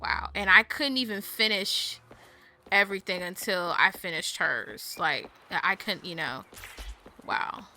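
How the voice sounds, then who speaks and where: A woman's voice: fairly low pitch, measured pace, sharp tone, a little hiss or hum. A woman hosting a game playthrough, reacting casually and expressively in commentary over the footage. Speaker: gaming channel host.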